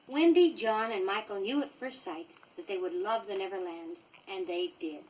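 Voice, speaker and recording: An American woman reading a children's story from a script, expressive and clearly paced for young listeners, on a 1960s vinyl record. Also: mid-range voice, distant mic, slight background noise, low-quality recording. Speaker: storybook reader.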